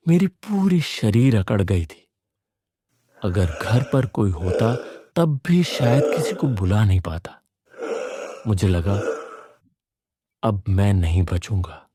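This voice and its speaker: Guy reading a horror story, dark mellow voice, really slow and suspenseful. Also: on the low side, sing-song, slight background noise. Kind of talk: first-person scripted horror narration.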